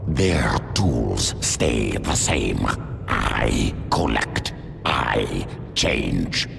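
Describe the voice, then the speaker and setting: Game creature: huge blunt primal beast, extremely low gravel growl, music behind. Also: male voice, animated, full character voice. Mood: proud, scornful. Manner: terse, slow, declarative. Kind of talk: video game creature acting.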